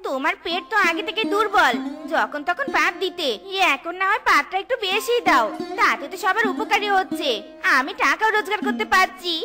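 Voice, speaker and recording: A bossy, money-hungry cartoon wife, extremely high and shrill. She scolds and orders people about in broad comic style, with music clearly behind her. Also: animated, a little fast. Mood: bossy scolding. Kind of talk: exaggerated Bengali comedy cartoon acting.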